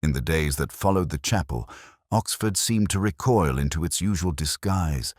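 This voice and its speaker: A man performs a melancholy middle-aged police inspector narrating his own detective drama. His voice is extremely deep and moves expressively up and down. The delivery is measured, reflective and literary, recorded close and dry. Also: expressive, a little mellow, dry recording. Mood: weary, reflective. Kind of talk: first-person scripted detective drama narration.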